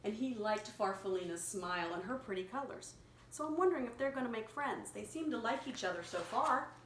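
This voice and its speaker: A woman reading a picture story aloud to a young child, pausing to explain words simply and prompt repetition. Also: on the high side, expressive, a bit bright. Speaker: children's story reader and teacher. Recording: echoey room with clear background noise.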